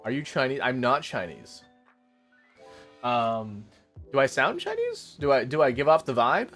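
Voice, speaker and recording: A man's voice: mid-range voice, wildly swooping pitch, a little slow, a bit bright, soft background music. A man streaming a casual chat, rambling to his viewers while making coffee. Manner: chatty, rambling, talks to chat.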